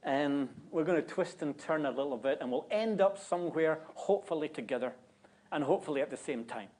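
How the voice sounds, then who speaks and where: A man's voice: a little high, lively intonation, brisk, darkish tone. A man with a Scottish accent, a guest preacher giving a joking, chatty sermon in a church. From Scotland. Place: church sanctuary.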